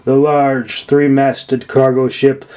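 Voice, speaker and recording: A man's voice: medium pitch, little pitch movement, takes it slowly, dark tone, clean tone. A man narrating a fantasy audiobook from a script, as the author of the story. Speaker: author reading own audiobook.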